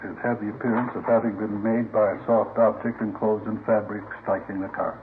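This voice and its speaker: An actor playing a matter-of-fact police crime lab lieutenant, giving precise, formal testimony in a 1950s radio drama. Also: male voice, on the low side, flat delivery, a little fast, natural voice. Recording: old radio recording, narrow, faint noise.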